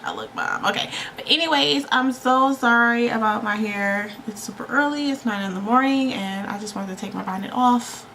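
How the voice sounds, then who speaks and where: A woman's voice: fairly high pitch, normal speed, few pauses, pushed and bright. A congested, slightly tired woman hosting a makeup tutorial, chatting casually and playfully in slang, often repeating words for emphasis. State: tired, under the weather with allergies. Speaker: beauty vlogger and makeup tutorial host. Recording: clearly noisy home recording.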